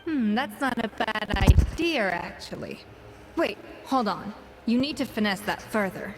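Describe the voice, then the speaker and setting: A woman's voice: medium pitch, lively intonation, measured pace, noisy background, natural voice. A woman playing a confident, proud young master chef in an anime game, with an assured, polished delivery. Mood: critical.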